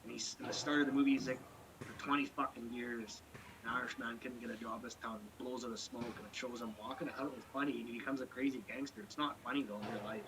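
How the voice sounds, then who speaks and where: Fast-talking profane man, noisy recording. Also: medium pitch, fairly even intonation. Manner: blunt, profane, rambling. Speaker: podcast co-host. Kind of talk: casual chat.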